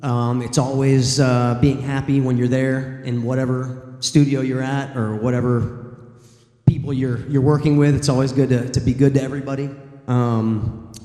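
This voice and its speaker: Man, voice actor on a panel, mellow tone, rambling with fillers, echoey hall, long reverb. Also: mid-range voice, flat delivery, normal speed. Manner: rambling, thinks aloud, many fillers. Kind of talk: convention panel answer. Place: large convention hall.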